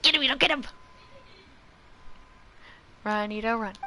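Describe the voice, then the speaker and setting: A woman's voice: fairly high pitch, takes it slowly, sharp tone. A woman streamer giving casual, playful and self-mocking commentary as she plays a video game. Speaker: gaming streamer. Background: game music.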